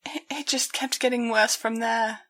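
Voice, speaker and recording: A young female pony from a dramatized story acted out by a woman, distraught and weighed down by guilt. Her voice sits high and she stammers, hesitating as she forces words out in pleading apology. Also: steady tone, moderate pace, fairly bright tone, lightly acted. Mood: shaky, ashamed.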